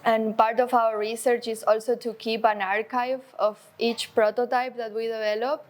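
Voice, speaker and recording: Woman from Argentina, talk presenter, even and level tone, friendly with small hesitations. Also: on the high side. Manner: friendly, plain, with hesitant fillers. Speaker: dance artist giving a talk.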